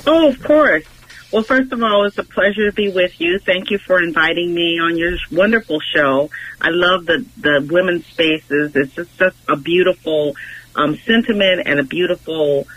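A woman's voice: lowish voice, moderate pace. A middle-aged American woman from Los Angeles, a guest talking reflectively over the phone, searching thoughtfully for her words. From Los Angeles, United States. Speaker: interview guest, English professor. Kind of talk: reflective conversational interview. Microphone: telephone. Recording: dull, noisy phone line.

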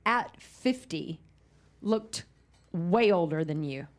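A woman's voice: fairly low pitch, expressive, slow pace. Chatty woman podcast host in her fifties. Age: 50s. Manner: chatty, encouraging, laughs often. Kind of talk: casual chat.

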